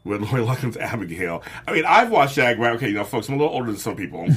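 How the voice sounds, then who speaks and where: A man's voice: mid-range voice, very animated, rapid-fire, fairly bright tone, slightly rough. A man co-hosting a casual fan chat, enthusiastic and rambling. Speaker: co-host.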